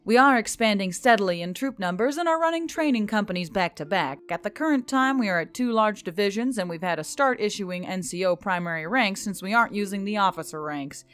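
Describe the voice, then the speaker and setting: A woman playing a female military officer giving a matter-of-fact, measured briefing in an audio story. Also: mid-range voice, lively intonation, fairly bright tone, smooth, close to an everyday voice. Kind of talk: scripted audio story dialogue.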